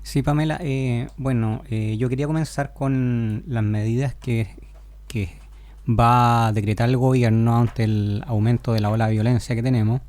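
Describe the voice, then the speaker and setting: A man, a businessman speaking as a panelist on a radio show, measured and sober. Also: mid-range voice, steady tone, darkish tone, no echo. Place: radio studio.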